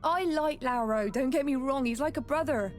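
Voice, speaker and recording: A woman's voice: high voice, moderate pace, close to an everyday voice. An actress playing a plain-spoken, loyal female sailor in a fantasy game, frank and colloquial. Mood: confiding. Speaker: voice actor in a video game. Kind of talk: scripted fantasy game dialogue. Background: music.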